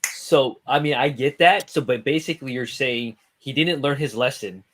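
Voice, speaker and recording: A man's voice: medium pitch, moderate pace, fairly bright tone, a little hiss or hum. A man talking informally as a panelist in a casual discussion. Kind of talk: casual movie discussion chat.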